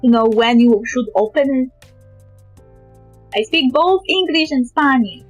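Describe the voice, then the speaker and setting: A woman's voice: high-pitched, lively intonation, measured pace, no room sound, muffled recording, faint music behind. A woman with a Polish accent chatting casually on a live game stream, joking and opinionated. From Poland.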